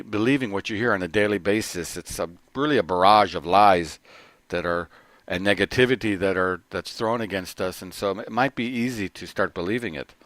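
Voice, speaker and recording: A middle-aged man giving an earnest personal testimony, often halting and restarting his phrases. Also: lowish voice, fairly bright tone, dry recording. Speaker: corporate trainer.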